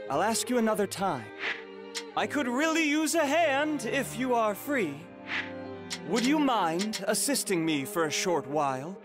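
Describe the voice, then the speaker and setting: A man's voice: high-pitched, full character voice. A man voicing a noble, cultured, proud young warrior-strategist in a video game, with formal and earnest delivery. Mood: polite. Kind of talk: theatrical video game dialogue. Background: music.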